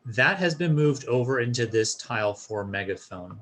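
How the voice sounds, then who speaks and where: Man giving a casual software walkthrough. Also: mid-range voice, animated, moderate pace. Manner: informal, explanatory, step-by-step. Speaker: software tutorial presenter. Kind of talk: casual instructional screen-share walkthrough.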